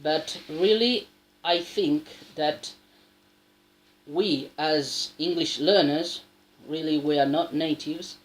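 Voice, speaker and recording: Slow, halting man. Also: fairly high pitch, rough recording. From South America. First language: Spanish. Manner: halting, with many restarts and a frequent tag question. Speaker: English learner sharing advice. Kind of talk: casual spoken monologue.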